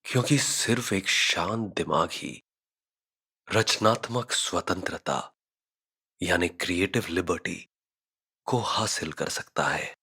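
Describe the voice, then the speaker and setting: A man narrating a scripted guided meditation, giving measured instructions with frequent pauses. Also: low-pitched, pushed and bright, breathy edge.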